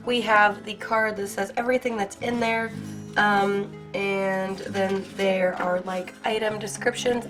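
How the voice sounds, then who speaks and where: Young female vlogger, a bit high-voiced, crackly from a cold, bubbly and chatty over faint music. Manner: bubbly, gushing, filler-heavy. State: hoarse from a cold. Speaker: art channel host. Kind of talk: casual unboxing review.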